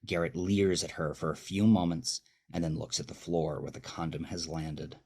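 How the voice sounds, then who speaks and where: Really deep husky guy reading a horror story, brooding and slow, dramatic delivery. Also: steady tone, a little mellow. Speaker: horror story narrator and host. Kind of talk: dramatic scripted narration of dark fiction.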